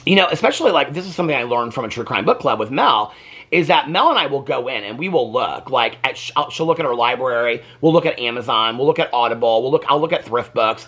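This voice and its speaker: Guy doing a chatty book vlog, rambling and emphatic, voice swings up and down a lot, bit raspy. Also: medium pitch, fairly bright tone. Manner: rambling, chatty, emphatic retelling with quoted asides. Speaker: book vlogger and book club host. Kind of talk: casual unscripted chat to viewers.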